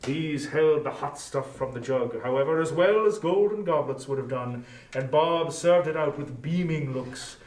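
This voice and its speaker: Male narrator for a scripted literary reading, slightly high voice, standing a bit back from the mic. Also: moderate pace, a little mellow. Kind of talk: scripted dramatic storytelling narration. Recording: roomy echo, distant from microphone.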